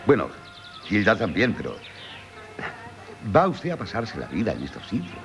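An actor with a Castilian accent playing a courteous, attentive professor and old family friend, speaking formally in a 1970s Spanish radio drama. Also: male voice, fairly low pitch, huge pitch swings, normal speed, soft background music. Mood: curious. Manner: polite, formal. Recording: muffled 1970s radio broadcast.

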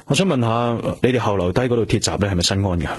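An actor playing an earnest police detective in a radio drama, speaking Hong Kong Cantonese in a polite, hesitant, natural way. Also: male voice, on the low side, rapid-fire. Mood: polite, probing. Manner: polite, hesitant, conversational questioning. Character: earnest male police detective, self-reproaching, gentle with companion. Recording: clear background noise with hum.

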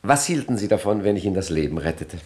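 An actor playing a cheeky, self-assured gangster boss with jovial mock politeness in a satirical radio crime drama. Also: male voice, deep voice, animated, quick pace, a little mellow. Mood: suave, amused. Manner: jovial mock politeness, repeats little words.

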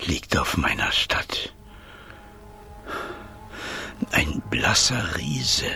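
An actor playing a small doting mayor in a fairy-tale radio drama, with a theatrical delivery. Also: male voice, sits low, creaky, sharp tone, heavily acted. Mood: horrified, hushed. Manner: rapturous, theatrical, swooping delivery. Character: small doting mayor in love with his town.

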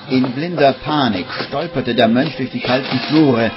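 A man's voice: mid-range voice, moderate pace, lightly acted. A man narrating a 1950s radio play as a grave storyteller in a dramatic, literary manner. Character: grave storyteller of a dark comic tale. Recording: muffled old radio recording, music behind.

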